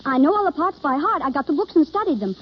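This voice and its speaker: A woman's voice: sits high, very animated, fast talker. An actress playing an earnest, starstruck young woman in old radio drama style, gushing and hesitant. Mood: nervous, pleading. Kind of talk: 1930s American radio acting. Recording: muffled old radio broadcast.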